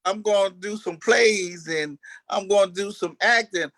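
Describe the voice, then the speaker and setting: A man chatting casually as an actor being interviewed, halting and often restarting his phrases. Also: high voice, a bit bright. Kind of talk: casual chat.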